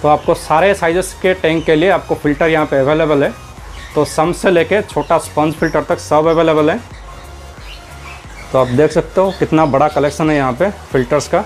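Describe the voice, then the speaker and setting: A man's voice: fairly high pitch, fairly even intonation, moderate pace, faint music behind. A man, casually narrating a walk through an aquarium and pet shop, chatting to viewers as he points out stock and prices. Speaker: aquarium hobbyist vlogger.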